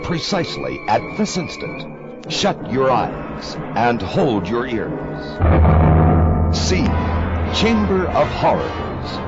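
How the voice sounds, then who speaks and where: A man narrating a vintage horror movie trailer as an emphatic, dramatic announcer. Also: mid-range voice. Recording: dull old promo record, loud music.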